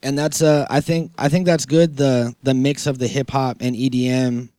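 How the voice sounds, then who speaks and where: A man's voice: mid-range voice, flat delivery, moderate pace, mellow, clear, resonant tone, no echo, studio quality. A man chatting casually and informally on a podcast, using slang and plenty of filler phrases. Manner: informal, slangy, peppered with "you know".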